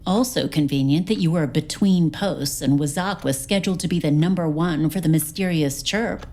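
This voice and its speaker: A woman playing a wry, capable investigator officer, reporting in a brisk, matter-of-fact way. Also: sits low, mellow. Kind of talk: audio drama acting.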